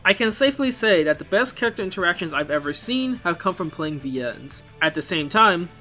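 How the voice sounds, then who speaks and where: A man with a fairly high voice delivers scripted video essay commentary over music. His pitch moves around a great deal as he explains things, and his phrasing is informal and opinionated. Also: pushed and bright. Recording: music behind the voice.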